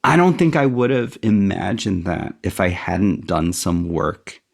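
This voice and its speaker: Interview guest: man, middle-aged, low voice with gravelly fry, reflective and candid. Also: measured pace. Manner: thoughtful, candid, self-deprecating.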